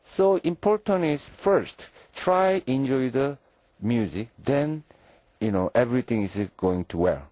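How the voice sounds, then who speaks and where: A middle-aged man with a Korean accent, a conductor speaking halting English with many fillers as a radio interview guest. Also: medium pitch, animated, warm tone, dry recording.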